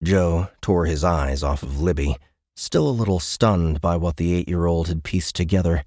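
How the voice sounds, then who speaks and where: A man with a deep voice narrates an audiobook. The delivery is scripted, dramatic storytelling, recorded in a very dry studio. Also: normal speed, darkish tone, no echo.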